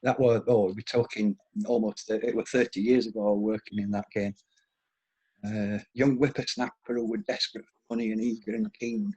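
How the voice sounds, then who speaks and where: A man in his fifties with a Yorkshire accent softened by years in Scotland, rambling through anecdotes in a casual conversation with frequent restarts. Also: on the low side, little pitch movement, moderate pace, breathy edge, low-quality recording. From England, Yorkshire.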